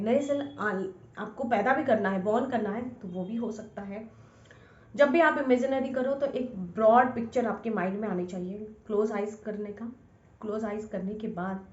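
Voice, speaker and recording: A woman's voice: mid-range voice, a little mellow. A woman giving an informal instructional talk, addressing the listener directly, repeating phrases and mixing in English terms. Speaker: instructor, scientist. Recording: echoey room, dull lo-fi sound.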